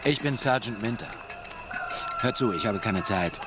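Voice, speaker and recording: An actor playing a brusque, impatient police sergeant in a radio drama, curt and demanding. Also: male voice, fairly low pitch, animated, a little slow, loud music behind the voice.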